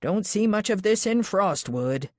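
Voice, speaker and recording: A woman voicing a gruff, stubborn small-town man in a thriller audiobook, plain-spoken and folksy with a loyal streak. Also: medium pitch, expressive, a little slow, darkish tone, no room sound, studio quality, voice pushed up, natural voice. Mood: gruff teasing.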